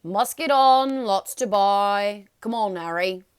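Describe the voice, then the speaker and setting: A woman's voice: mid-range voice, slow, clear, resonant tone, dry recording, clean studio sound, pitched above the performer's own voice, lightly acted. A woman voicing a big, good-natured man in a storybook, talking in a blunt, folksy, hearty way. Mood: loud, hurrying.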